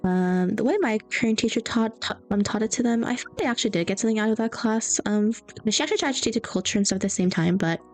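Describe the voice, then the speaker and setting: A woman's voice: mid-range voice, fast, darkish tone. A young woman streamer chatting casually and rambling through stories while she draws. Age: young adult. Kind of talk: casual chat while drawing. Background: faint background music.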